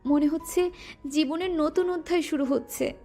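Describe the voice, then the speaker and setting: A woman voicing a shy, soft-spoken young bride in a romantic audio story, music behind. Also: high-pitched, normal speed, voice pushed up. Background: soft background music.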